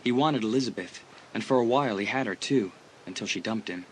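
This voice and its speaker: A man's voice: lowish voice, a little fast, close to an everyday voice. Man playing an easygoing teenage sports star, noisy recording. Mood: grave, confiding. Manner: casual, chatty, teasing. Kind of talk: audiobook dramatisation acting.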